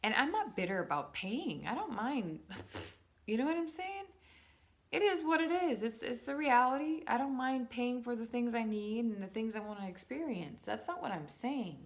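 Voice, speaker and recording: A woman talking to her viewers in a casual, rambling monologue, slowing down to stress words and restarting her sentences often. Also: a little high, animated. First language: English. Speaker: vlogger.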